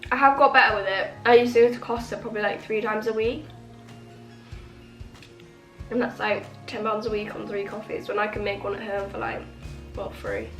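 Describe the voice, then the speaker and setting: A woman's voice: medium pitch, animated, moderate pace, long pauses, fairly bright tone. A young woman chatting casually to camera as a vlogger, sniffly with a cold and rambling with lots of "like". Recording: echoey room with faint music. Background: faint music.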